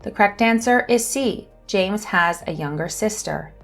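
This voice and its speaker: A woman hosting an English lesson, reading questions and answers slowly and carefully. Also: medium pitch, lively intonation, creaky, soft background music. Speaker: English teacher and channel host. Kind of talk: scripted lesson narration.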